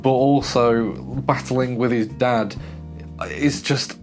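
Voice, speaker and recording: A man hosts a casual film review in an emphatic, expressive way, often restarting his sentences. His voice sits at a middle pitch and still carries a throat that is getting over being sore. Faint guitar music plays quietly behind him. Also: slow.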